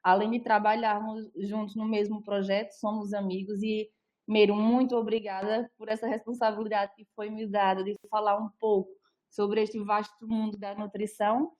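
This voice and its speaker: A woman's voice: mid-range voice, little pitch movement, moderate pace, a little mellow. A young adult woman with a Brazilian Portuguese accent, a nutritionist presenting an online talk in a friendly, explanatory way with frequent fillers.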